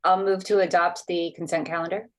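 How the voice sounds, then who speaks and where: A woman's voice: fairly low pitch, level tone, a little fast. A woman speaking fluently and matter-of-factly as a commissioner at a civic meeting. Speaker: returning commissioner, former city council member. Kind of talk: prepared, civic self-introduction.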